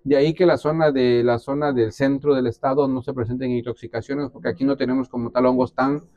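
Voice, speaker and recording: A man's voice: mid-range voice, steady tone, quick pace, dark tone, dry recording, lo-fi sound. A man, explaining as an expert in an interview, with hesitant restarts. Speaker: biologist, interviewee. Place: small indoor exhibition room. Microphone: clip-on lapel mic.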